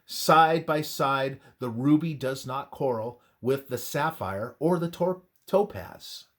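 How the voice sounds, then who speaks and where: A man reading a scripted religious devotional in a measured, deliberate way, with emphatic phrasing and pauses. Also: medium pitch. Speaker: reader of a religious devotional text.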